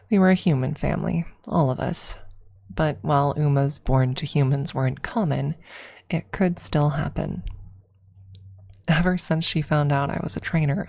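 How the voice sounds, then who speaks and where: A woman with a creaky voice narrating a scripted story in an ASMR style. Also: sits low, darkish tone. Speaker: streamer and story reader.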